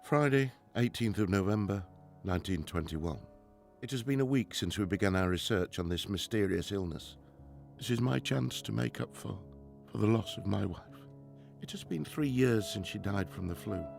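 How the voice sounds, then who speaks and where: Guy acting a stern formal professor in a 1920s radio drama, lowish creaky voice, music under it. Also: lively intonation, normal speed, a little mellow. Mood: sombre, reflective. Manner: formal, precise, clipped scolding. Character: stern, driven professor, widowed father, 1920s.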